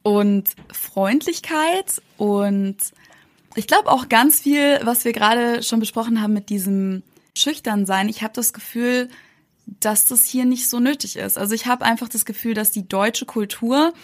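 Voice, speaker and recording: A woman's voice: on the high side, unhurried, dry recording. A young woman from Germany, a content creator, chatting casually in an interview with many fillers. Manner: chatty, many fillers, mimics others in quotes.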